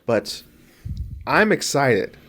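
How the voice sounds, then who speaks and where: Male film-podcast co-host, sniffly, rambling, self-correcting, mid-pitched. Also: very animated, extremely slow, a bit bright. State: sniffly from allergies. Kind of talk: casual film podcast chat.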